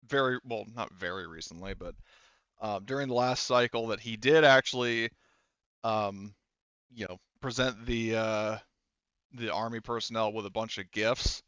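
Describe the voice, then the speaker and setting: Guy gming a tabletop game, rambles and stops a lot, smooth voice, kinda lo-fi sound. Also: fairly low pitch, fairly even intonation, fairly bright tone, no room sound. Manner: halting, rambling. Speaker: game master. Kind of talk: casual tabletop roleplaying narration and table talk.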